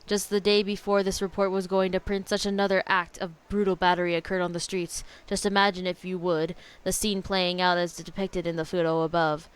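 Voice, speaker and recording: A woman's voice: on the low side, little pitch movement, brisk, few pauses, clean tone. A woman streamer reacting casually to a video game, informal and opinionated, reading text aloud with some stumbles.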